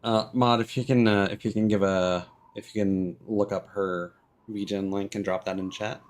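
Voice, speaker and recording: A man's voice: lowish voice, steady tone, normal speed. A man hosting a livestream, chatting casually and commenting on the video game he is playing. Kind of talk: casual gaming stream chat and commentary. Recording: game music behind the voice. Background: video game music.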